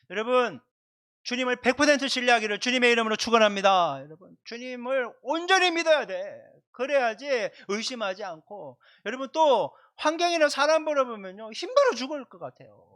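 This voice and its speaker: A man's voice: very high voice, a bit bright. A man preaching as a pastor at a Sunday service, speaking emphatically and directly to his congregation. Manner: emphatic, repeats points and addresses the congregation directly. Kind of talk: Sunday sermon with prayer. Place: church service, livestreamed.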